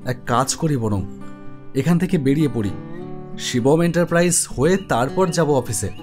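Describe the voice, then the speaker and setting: A man's voice: a little high, expressive, normal speed, darkish tone, lightly acted. A man playing an office web developer who narrates his own ghost story in a dramatic, suspenseful way. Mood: reflective narrating. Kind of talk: scripted first-person horror story narration. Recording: music behind the voice.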